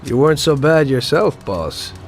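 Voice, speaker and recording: A man's voice: on the high side, sing-song, normal speed, creaky, soft background music. An actor playing a loyal, level-headed young mob henchman, deferential and understated, in a game cutscene. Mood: dutiful. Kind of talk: video game cutscene acting.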